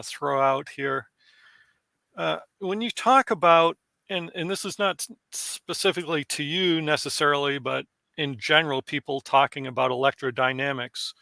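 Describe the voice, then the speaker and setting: A man's voice: fairly high pitch, creaky, sharp tone, no echo. A man giving a thoughtful, musing comment to the speaker in an online meeting. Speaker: audience member commenting.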